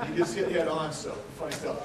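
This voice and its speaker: Joking, chatty man, high voice. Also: little pitch movement, brisk, noisy background. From Texas. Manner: joking, chatty, asks the audience questions. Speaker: aquarium CEO giving a talk. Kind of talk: casual public talk to a crowd. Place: large indoor room with an audience. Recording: echoey hall, mic from far off.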